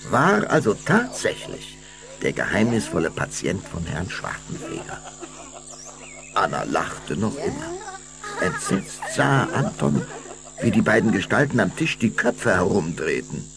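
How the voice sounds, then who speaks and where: A man's voice: on the low side, huge pitch swings, a little slow, creaky, heavily acted. A man narrating a German children's vampire audio play as a suspenseful, dramatic storyteller. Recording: music behind the voice.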